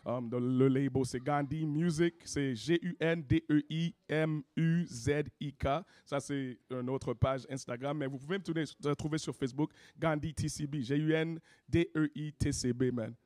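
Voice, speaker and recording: A man's voice: on the high side, steady tone, normal speed, hardly any pauses, darkish tone. A man with a Haitian French accent, a rapper and singer, talking casually in an interview and mixing in English with lots of "you know what I mean". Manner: laid-back, peppers speech with "you know what I mean".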